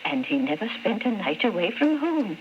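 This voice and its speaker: Woman acting a fretful, animated old-radio landlady. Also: medium pitch, lightly acted. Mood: worried, fond. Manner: hesitant, halting, deferential. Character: kindly, fretful female rooming-house landlady. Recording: muffled, noisy vintage radio recording.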